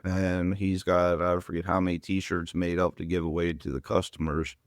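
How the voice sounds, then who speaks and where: A man's voice: sits low, little pitch movement, normal speed, no room sound, clean studio sound. A man speaking as a podcast guest and giving brief, matter-of-fact answers. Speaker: shop manager and guest. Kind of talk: casual podcast chat.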